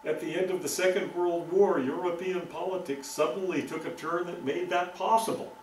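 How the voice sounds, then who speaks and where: An older man giving a relaxed, thoughtful lecture with frequent ums, recorded by a far camera in a meeting room. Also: a little high, roomy sound, slight background noise. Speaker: guest lecturer. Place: meeting room with green walls.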